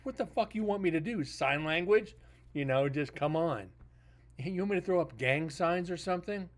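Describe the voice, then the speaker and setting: A man's voice: fairly high pitch, animated, moderate pace. Chatty blunt man on a livestream. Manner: chatty, blunt and joking, with swearing. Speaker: paranormal investigator reviewing evidence. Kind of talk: casual livestream chat.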